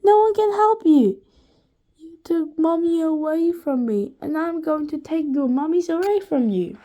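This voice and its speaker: A woman's voice: very high-pitched, lively intonation, unhurried, dark tone, voice pushed up. A woman voicing a sly, mean male schoolteacher who gloats and mocks. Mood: menacing. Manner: gloating, mocking.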